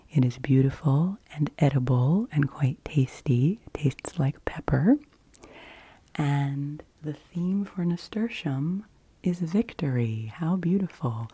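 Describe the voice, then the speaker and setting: A woman in her forties whispers softly and gently in an unhurried ASMR style, close to the microphone. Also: deep voice, slightly rough. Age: 40s. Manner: soft, gentle, unhurried delivery. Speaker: ASMR host doing an oracle card reading.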